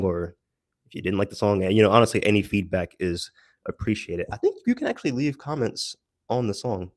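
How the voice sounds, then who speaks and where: A man giving a casual, rambling audio log as a solo creator, haltingly searching for words with self-deprecating asides. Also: lowish voice, dry recording, studio quality.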